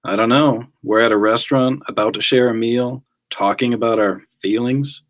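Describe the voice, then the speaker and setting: A man reading the part of a guarded, gruff, suspicious male agent, with blunt, terse, dry retorts. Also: medium pitch, fairly even intonation, normal speed, natural voice. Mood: guarded, irritated.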